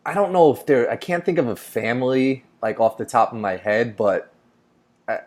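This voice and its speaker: A man's voice: mid-range voice, animated, normal speed, darkish tone. A man from New York hosting a casual chat over a video call, rambling and filling his talk with "like". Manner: rambling, heavy on "like" fillers. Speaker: host.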